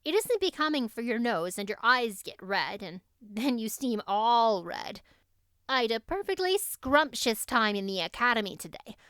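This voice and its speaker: A woman's voice: a little high, a bit bright, clear, resonant tone, no room sound. A woman voicing a spiteful, boastful teenage schoolgirl who gushes in slang and offers false pity, in an audiobook story. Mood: aggravating pity, boastful. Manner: gushing, slangy, falsely pitying.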